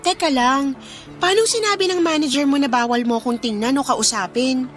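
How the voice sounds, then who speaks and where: A woman acting a sensible, caring young fast-food worker and girlfriend, gentle and reasoning in radio drama dialogue. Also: high voice, soft background music, natural voice. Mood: cautioning.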